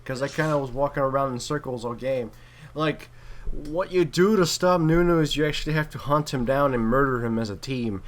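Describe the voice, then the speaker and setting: A man's voice: mid-range voice, brisk. A man giving casual, chatty commentary on a video game replay as one of the players.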